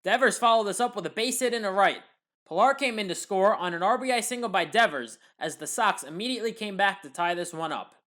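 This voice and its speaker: American woman narrating sports highlights. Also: expressive, a little fast, fairly bright tone. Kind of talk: scripted play-by-play recap narration.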